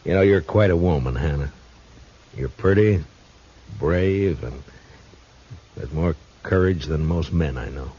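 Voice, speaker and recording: An actor playing a tough, steady frontier marshal in a Western radio drama, with an American accent and a firm, plain-spoken manner. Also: male voice, extremely low pitch, measured pace, pauses often, breathy edge, exaggerated voice. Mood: warm. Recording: dull old radio recording, faint noise.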